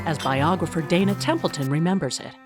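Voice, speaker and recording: A woman's voice: low voice, fairly quick, over music, lightly acted. A woman playing the narrator of a mock documentary, reading a scripted biography. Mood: storytelling.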